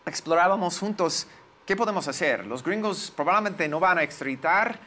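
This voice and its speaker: Higher-voiced American man speaking halting Spanish. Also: expressive, pushed and bright. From United States. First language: English. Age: 40s. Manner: halting, searching for words. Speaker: lawyer, interview guest. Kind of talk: interview, personal recollection.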